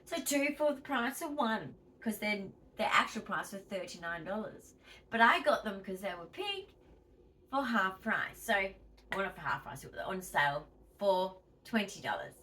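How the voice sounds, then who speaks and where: Aussie woman vlogging, super lively up and down voice, chatty, bit far off the mic. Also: mid-range voice, moderate pace, a little hiss or hum. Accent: Australian. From Australia. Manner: chatty, emphatic, tells viewers what to do. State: wheezy, tight-chested. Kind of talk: casual home vlog chat.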